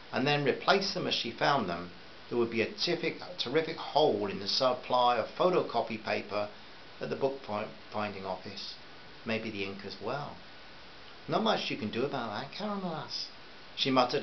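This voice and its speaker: Man, author reading his book aloud, medium pitch, storytelling, dull recording, faint hiss. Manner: plain storytelling, warm sign-off to listeners. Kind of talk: serialized audiobook reading.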